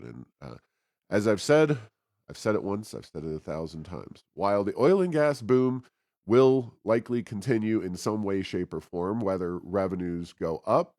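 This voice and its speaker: A man co-hosting a talk show, giving conversational political commentary in a halting way, often pausing mid-sentence. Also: low voice, a little mellow, no room sound, clean studio sound. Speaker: talk show co-host, think tank president.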